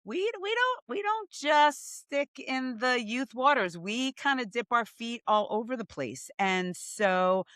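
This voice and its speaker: Woman, podcast co-host, bubbly, upbeat, bright voice, dry close sound. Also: a little high, lively intonation, measured pace, hardly any pauses, dry recording. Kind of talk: casual podcast chat.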